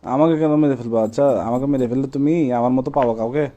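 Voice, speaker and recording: A man's voice: medium pitch, steady tone, fast talker, warm tone, muffled recording. A young man with a Bangladeshi accent, talking casually as a boyfriend in a comic phone argument, evasive and placating. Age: young adult.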